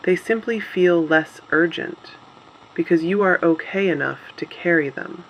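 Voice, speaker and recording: A performer playing a solemn, resolute resistance radio host in a scripted dystopian drama, speaking gravely and deliberately in short phrases. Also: mid-range voice, muffled recording, a little hiss or hum, lightly acted.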